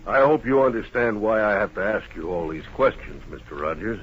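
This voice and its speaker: Old radio western sheriff, lowish n husky, calm folksy questioning, muffled sound. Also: male voice. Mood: firm, questioning. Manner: measured, folksy, matter-of-fact. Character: steady, folksy small-town Western sheriff, questioning suspects. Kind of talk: 1950s radio Western acting.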